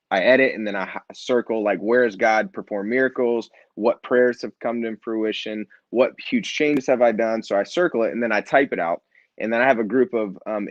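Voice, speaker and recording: Earnest low-voiced man, muffled sound. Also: no room sound. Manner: earnest, reflective, restarts often. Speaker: nonprofit founder and author, guest. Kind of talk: conversational interview.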